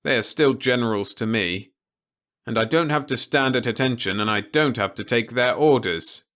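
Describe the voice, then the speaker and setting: A man's voice: mid-range voice, moderate pace, fairly bright tone, voice pushed up, natural voice. A man playing a defiant, sardonic ex-serviceman in an audiobook, talking bluntly and sarcastically. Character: defiant, sardonic, independent-minded male ex-serviceman. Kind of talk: audiobook character dialogue.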